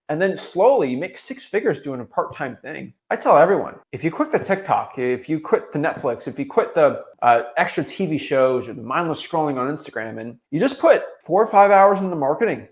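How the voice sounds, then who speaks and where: A young American man with a fairly high voice and very lively, swinging intonation. He talks off the cuff like a motivational coach, hammering points through repetition in loose, slangy language. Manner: emphatic, repetitive, slangy and informal. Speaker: online business coach. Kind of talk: unscripted motivational talk.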